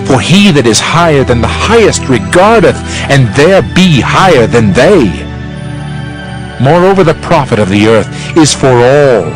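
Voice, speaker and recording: A man's voice: mid-range voice, natural voice. Solemn actor as an oratorical male Preacher, music behind. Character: solemn male Preacher reflecting on vanity and God. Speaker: dramatized scripture reader. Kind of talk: dramatized King James Bible reading.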